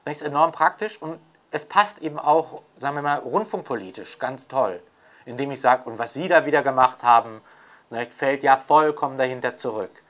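A man's voice: mid-range voice, moderate pace, a bit bright. Emphatic, opinionated man in spontaneous talk. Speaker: interviewee, commentator on media theory.

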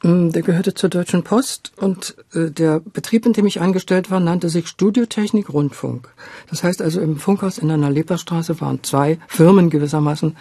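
A woman over seventy reminiscing as an interview guest, thinking aloud in a halting, thoughtful way. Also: fairly low pitch, mellow, husky, dry recording. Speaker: interview guest, radio drama director.

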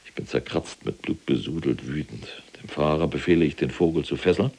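Imprisoned SS general, cold and self-righteous,, extremely low gravelly voice, deliberate radio drama delivery. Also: male voice, darkish tone, exaggerated voice. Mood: agitated, disgusted. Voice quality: very deep, gravelly, husky. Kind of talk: German radio play acting, monologue.

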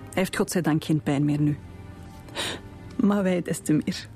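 An actress playing a composed, grieving middle-aged widow with a Flemish accent, sorrowful and restrained, in a radio drama. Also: female voice, deep voice, unhurried, mellow, faint music behind. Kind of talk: naturalistic radio drama acting.

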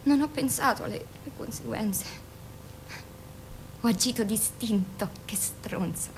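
An actress in an Italian radio play playing a doomed young woman prisoner, defiant yet frightened, brittle and halting. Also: on the high side, a little hiss or hum, poor audio. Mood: regretful.

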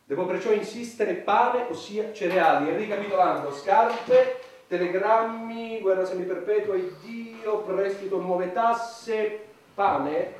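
An actor playing a wordy, self-important head of government in a satirical radio play, speechifying in a pompous, rhetorical way. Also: male voice, high voice, slow. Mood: insistent. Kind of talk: satirical stage radio drama. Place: large echoey room, recorded from afar. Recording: distant, very echoey hall, faint noise.

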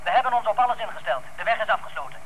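A man's voice: high voice, lively intonation, very fast, fairly bright tone. A man acting in a radio drama as an alert policeman giving clipped, procedural reports over the police radio. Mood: urgent. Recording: faintly noisy police radio sound.

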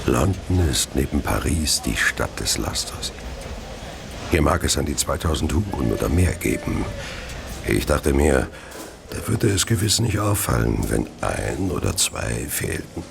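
An actor playing a Victorian merchant who narrates his own murders in a radio play, measured, cold and confessional. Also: big pitch swings, background noise, heavily acted. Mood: dark, gloating. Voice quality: deep, gravelly. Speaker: narrator of an audio drama.

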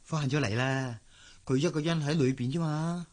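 A man's voice: a little high, normal speed, darkish tone, close to an everyday voice. Man acting a kindly, weary older watchman. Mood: amused, fatherly. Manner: avuncular, drawling, sighing interjections. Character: kindly, weary middle-aged male watchman, fatherly and teasing. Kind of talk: Cantonese radio drama acting.

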